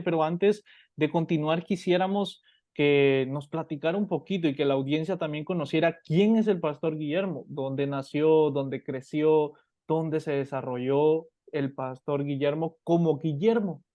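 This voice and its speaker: A man's voice: on the high side, steady tone, normal speed, mellow, dry recording, highs cut off. A male radio host who interviews a guest pastor with friendly affirmations and long, winding questions. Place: radio studio.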